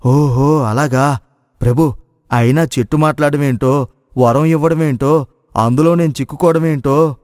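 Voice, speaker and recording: A naive village cowherd acted by a man with a fairly high male voice. He speaks in excitable exclamations and questions, as in a moral story. A long reverb hangs on the recording. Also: normal speed, pauses often, clean studio sound, lightly acted. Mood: respectful, bewildered. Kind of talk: Telugu moral story voice acting.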